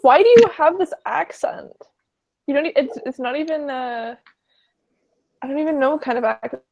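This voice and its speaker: A woman's voice: sits high, animated, normal speed, gravelly, darkish tone. Blunt teasing young woman from Canada. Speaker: chat participant. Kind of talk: casual group chat. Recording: dull lo-fi recording.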